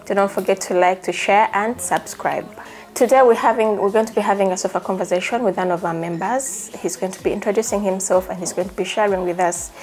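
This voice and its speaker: A woman's voice: medium pitch, steady tone, quick pace, a little mellow. A woman hosting a conversational interview. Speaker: host.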